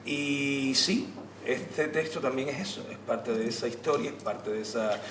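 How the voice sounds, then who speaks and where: A man gives an unscripted panel answer as a theater practitioner, stressing points through repeated phrases and pauses. He is some way from the mic, with room echo and clear background noise. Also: medium pitch, far from the mic. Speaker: theater actor and director on a panel. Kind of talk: spontaneous answer at a public talk. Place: indoor theater venue during a public discussion.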